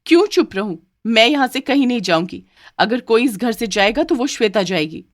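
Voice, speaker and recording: A woman voicing an overworked young daughter-in-law in a cartoon story, high and protesting. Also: lively intonation, close to an everyday voice. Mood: defiant. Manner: high, protesting, quick retorts. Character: overworked young daughter-in-law treated as a maid, put-upon.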